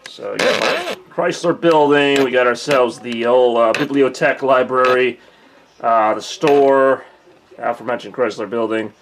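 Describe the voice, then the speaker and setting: A young man casually hosting a live holiday stream from a decorated room at home, joking and thinking out loud with lots of fillers, recorded on a camcorder mic. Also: medium pitch, a little slow, noisy background. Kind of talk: casual live-stream chat reading viewer comments.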